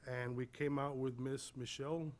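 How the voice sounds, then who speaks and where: A man's voice: medium pitch, steady tone, moderate pace, slight background noise. A man speaking as a city official, delivering a report at a public meeting. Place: city commission meeting room.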